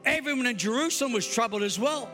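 A man with an Australian accent preaching a Christmas Eve sermon, emphatic and swinging between loud and soft. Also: extremely high pitch, quick pace, faint music behind. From United States. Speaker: pastor. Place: large church hall. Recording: long hall reverb.

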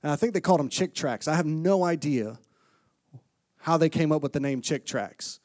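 A man's voice: on the high side, moderate pace, a little mellow, no echo, clean studio sound. A middle-aged man from Florida preaching a sermon to a congregation, conversational and self-deprecating, reading scripture aloud as he goes. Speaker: guest preacher. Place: church sanctuary with congregation.

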